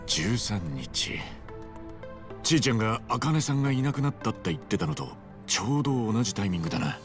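Big hearted rough talking guy around 40, earnest, really animated, game cutscene. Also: medium pitch, a little fast, music in the background. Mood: serious. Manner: casual, blunt, rough-edged speech. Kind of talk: video game cutscene dialogue.

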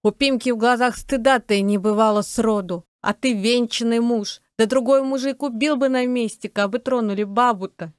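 An actress playing a furious, coarse peasant wife, berating in folksy rural speech for an audio drama. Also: female voice, a little high, moderate pace, few pauses, clean tone, no room sound, studio quality, close to an everyday voice. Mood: scornful fury. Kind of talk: audiobook radio-play acting.

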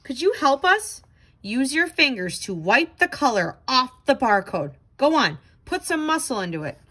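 A woman reading a children's picture book aloud in an expressive, dramatic, exclaiming way. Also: high voice, moderate pace, slightly rough. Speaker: storybook reader.

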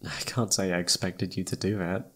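A man playing a self-doubting, lovestruck best friend in an intimate ASMR roleplay, speaking softly and haltingly with tender hesitation. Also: deep voice, steady tone. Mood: tender, shy. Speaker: amateur voice actor.

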